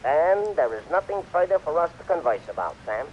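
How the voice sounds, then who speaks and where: A pompous, wordy racketeer speaks in ornate, formal phrases, his voice sitting a little high. He sounds self-assured and unhurried. The sound is dull and lo-fi, like a vintage radio broadcast. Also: very animated, a little hiss or hum. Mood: smug. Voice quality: florid, old-fashioned formal diction. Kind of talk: 1940s radio acting.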